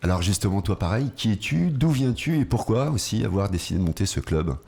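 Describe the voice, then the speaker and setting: Host: man, very low and husky voice, lively, friendly radio chat. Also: fairly quick. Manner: friendly, asks short questions, laughs often. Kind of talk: casual radio interview. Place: radio studio.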